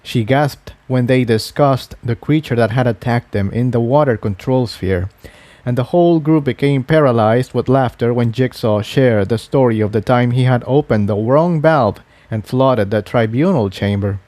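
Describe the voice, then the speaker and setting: Man, scripted fiction narration, relaxed dark timbre, mid pitch, very dry recording. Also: moderate pace, no room sound.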